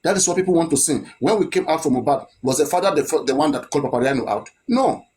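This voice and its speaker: A man's voice: on the high side, lively intonation, fast talker, a little mellow. A man, giving his opinion in a chat and pressing his points with repeated rhetorical questions.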